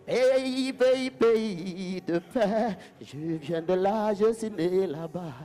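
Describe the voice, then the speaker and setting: High-voiced man chanting slowly over music. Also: dark tone. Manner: rhythmic, chanted repetition. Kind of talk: spoken-word performance over music.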